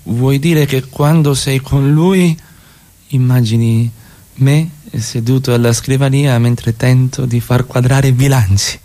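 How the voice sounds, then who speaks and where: An actor playing a polite middle-class husband who keeps quietly probing his wife in a radio play. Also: medium pitch, steady tone, lightly acted. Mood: probing, curious. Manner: casual politeness, measured questioning. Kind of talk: radio theatre dialogue.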